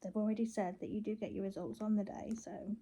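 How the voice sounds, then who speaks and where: A woman's voice: mid-range voice, fairly even intonation, quick pace, warm tone, a little hiss or hum. A British woman vlogging casually on her phone, rambling playfully and half talking to herself as she goes. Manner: chatty, playful, talks and mutters to self. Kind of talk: casual rambling chat to camera while wrapping gifts.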